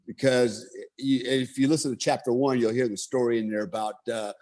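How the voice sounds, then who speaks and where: A man's voice: medium pitch, fairly even intonation, brisk, a little mellow. A man in his fifties from the United States, telling his own life story in a loose, casual monologue full of fillers and restarts. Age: 50s. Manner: rambling, many fillers and restarts.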